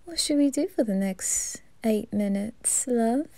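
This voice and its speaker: A woman voicing a flamboyant, flirtatious male reaper in an ASMR roleplay, teasing and giggling, often slipping into breathy whispers. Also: fairly high pitch, lively intonation, unhurried, mellow, dry recording.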